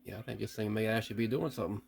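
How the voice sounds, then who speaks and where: A man's voice: lowish voice, fairly even intonation, very fast, creaky, heavy background noise. A man giving a casual, unscripted hands-on demonstration, thinking aloud with some hesitation. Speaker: vlogger testing vintage CB radios.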